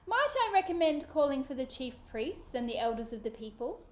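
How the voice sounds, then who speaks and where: A woman reading a children's book aloud, voicing a deferential male servant who gives the king polite, measured advice. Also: sits high, very animated, roomy sound, slight background noise. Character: deferential pot-bellied male royal servant.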